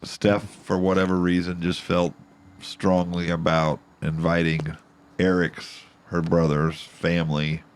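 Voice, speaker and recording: A man co-hosting a podcast in casual chat, stuffed up and a bit nasal from a cold, rambling with halting restarts. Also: low voice, flat delivery. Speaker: podcast co-host.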